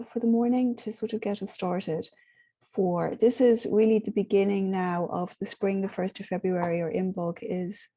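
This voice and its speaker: Hoarse woman with a soft, mellow voice. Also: mid-range voice. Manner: gentle, rambling, many ums. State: hoarse, sore throat. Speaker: spiritual workshop host. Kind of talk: casual talk to an online group.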